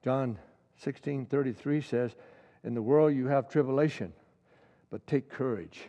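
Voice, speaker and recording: A man's voice: mid-range voice, measured pace, dark tone, lo-fi sound. Impassioned preacher man in a hall. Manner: impassioned, rising to emphatic peaks, repeats key lines. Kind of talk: sermon.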